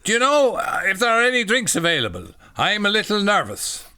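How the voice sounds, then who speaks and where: Man putting on a high, nervous, genteel Irishwoman. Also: huge pitch swings, brisk, bright, forceful tone, clear, resonant tone, heavily acted. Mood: nervous, polite. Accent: attempted Irish. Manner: polite, hesitant. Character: nervous, genteel married Irishwoman in a spoof storybook.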